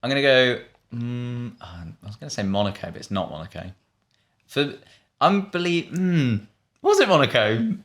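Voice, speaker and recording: A man's voice: mid-range voice, big pitch swings, normal speed. A man chatting casually and thinking aloud in a hesitant, musing way while playing a quiz. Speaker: online video host, quiz player.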